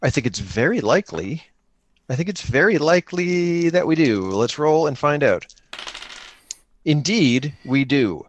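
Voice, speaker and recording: A man's voice: fairly high pitch, animated, measured pace, no room sound. A man narrates an improvised tabletop game in a casual, conversational way. He thinks aloud as he goes and jokes around. The sound is dry, with very little room in it. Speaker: co-player running a solo-engine tabletop game.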